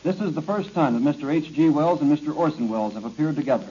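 A man's voice: fairly high pitch, lively intonation, quick pace, mellow, breathy edge. A man introducing a program as a radio announcer in a formal, ceremonious manner, in a muffled 1940 archive recording.

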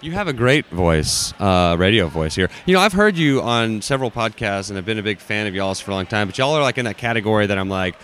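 Playful podcast host. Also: male voice, on the low side, animated, fast talker, few pauses, fairly bright tone, slight background noise. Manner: rambling, playful, heavy use of "like". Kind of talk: casual interview chat. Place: busy expo floor.

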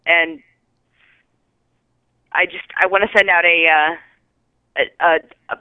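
A woman's voice: lowish voice, steady tone, slow, clear, resonant tone, no echo. Woman caller on a tinny phone line. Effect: telephone. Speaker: caller leaving a listener message. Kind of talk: casual chat. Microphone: phone call.

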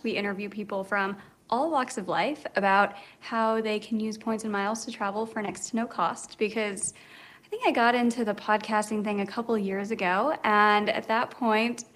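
A woman's voice: medium pitch, moderate pace, a bit bright. A woman guesting on a podcast, chatting casually with a self-deprecating, storytelling manner. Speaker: podcast guest, travel expert. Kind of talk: casual interview chat.

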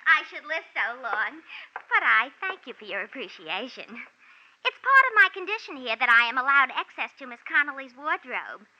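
A woman's voice: high voice, very animated, moderate pace, sharp tone, exaggerated voice. A woman playing a brassy maid and dancer in a 1940s radio drama, putting on stilted, refined diction mixed with slangy wisecracks. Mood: chatty. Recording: dull vintage 1940s radio recording.

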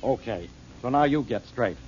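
An actor playing a brusque, dismissive police inspector in a 1940s radio drama. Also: male voice, mid-range voice, expressive, normal speed, a little mellow, natural voice. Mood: irritated. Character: gruff, impatient police inspector. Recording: muffled old radio broadcast, faint hiss.

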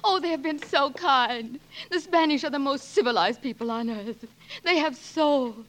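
An actress playing an effusive, melodramatic young wife with theatrical exclamations in 1940s radio style. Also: female voice, sits high, normal speed, fairly bright tone. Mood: gushing. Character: gushing, melodramatic young wife pleading for her husband. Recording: faintly noisy old radio recording.

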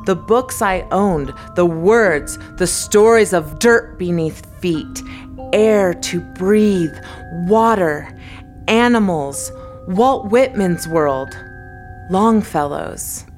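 An actress playing a wistful, solemn narrator, telling a story in measured, emotive scripted narration. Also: female voice, on the low side, expressive, a little mellow, faint music behind.

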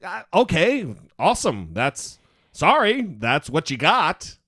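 A man's voice: a little high, huge pitch swings, slow pace, bright, forceful tone, breathy edge, no echo, studio quality. A man co-hosting a podcast in casual political chat, with a goofy voice and a playful, sarcastic, teasing manner. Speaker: podcast co-host.

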